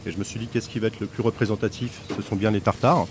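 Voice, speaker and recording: A man's voice: on the low side, fairly even intonation, quick pace, background noise. A middle-aged French man who owns a café, chatting casually in an interview with many fillers and restarts. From France. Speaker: café owner.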